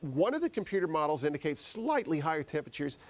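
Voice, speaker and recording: A man's voice: fairly high pitch, sing-song, quick pace. An American man presenting a live TV weather forecast in a conversational, folksy way.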